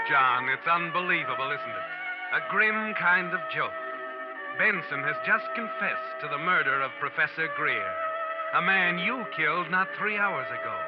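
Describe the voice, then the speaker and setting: A man's voice: fairly high pitch, close to an everyday voice. A man playing The Whistler, mocking narrator. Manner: taunting second-person narration. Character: The Whistler, sinister all-knowing male narrator. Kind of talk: 1940s radio drama narration. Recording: dull vintage radio recording with music.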